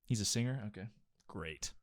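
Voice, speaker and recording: A man in his twenties with a somewhat deep voice, recorded close and dry. He talks casually as the co-host of an entertainment news show. His delivery is laid-back and offhand. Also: expressive, moderate pace, no echo.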